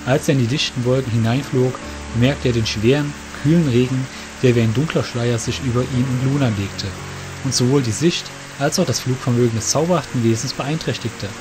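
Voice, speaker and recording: A male narrator reads a fantasy tale in a descriptive storytelling style. His voice sits at a medium pitch, with music clearly heard behind it. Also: rough recording. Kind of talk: scripted fantasy audiobook narration.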